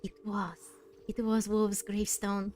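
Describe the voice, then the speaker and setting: A woman's voice: medium pitch, flat delivery, a little slow, darkish tone. A woman streaming a video game with casual, reactive commentary, talking to herself in short bursts.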